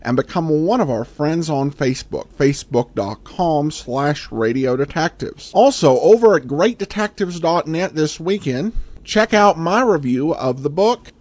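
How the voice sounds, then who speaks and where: A man's voice: a little high, animated, unhurried, a little mellow. An American man hosting a podcast, reading introductions and sponsor messages. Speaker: podcast host.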